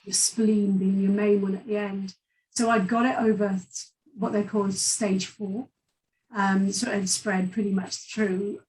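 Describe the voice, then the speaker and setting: A woman's voice: medium pitch, level tone, a little slow, darkish tone, distant mic, a little hiss or hum, poor audio. A woman from Wales with a Welsh accent, telling her personal story as a guest in an interview in an open, chatty way.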